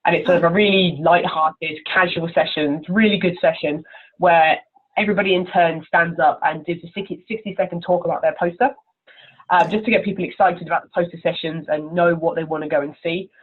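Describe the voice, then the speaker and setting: A woman's voice: fairly low pitch, steady tone, brisk, slightly rough. A woman giving informal, encouraging interview answers as a conference chair over the telephone. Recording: narrow, tinny telephone line.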